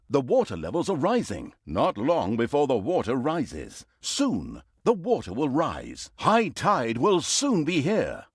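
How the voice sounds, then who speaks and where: An actor playing a sardonic, theatrical narrator of a villainous fantasy game tale, with a mock-grandiose storybook delivery. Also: male voice, medium pitch, slightly rough, no room sound, studio quality, exaggerated voice. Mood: announcing. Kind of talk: scripted comic storybook narration.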